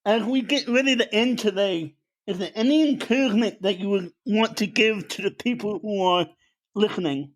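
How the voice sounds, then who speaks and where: A host asking short, gentle interview questions and closing the episode. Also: mid-range voice, normal speed, rough recording. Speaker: podcast host.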